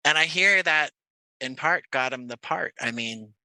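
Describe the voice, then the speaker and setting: A man's voice: on the high side, unhurried, sharp tone, no room sound, rough recording. A man from Jersey City chatting casually as an interview guest, telling stories in a discursive way. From Jersey City, United States. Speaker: guest playwright and director. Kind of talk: casual podcast conversation.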